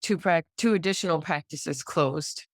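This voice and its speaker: A woman's voice: low voice, normal speed, dry recording, clean studio sound. A middle-aged American woman talking emphatically in a conversational interview, often pressing points with repetition and rhetorical questions. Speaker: podcast guest, pediatrician.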